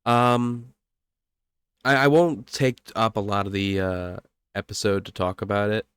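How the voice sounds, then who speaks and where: A man's voice: lowish voice, steady tone, unhurried, a bit bright, smooth, dry recording. A young man co-hosting a podcast, chatting casually with a stuffy, congested nose and rambling, self-correcting delivery. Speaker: podcast co-host.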